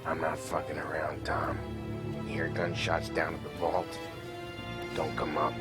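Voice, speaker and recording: An actor playing a streetwise, blunt Prohibition-era mobster who plans a heist, in a game cutscene. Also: male voice, a bass voice, monotone, a little slow, over loud music. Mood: scheming. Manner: streetwise, blunt tough-guy talk.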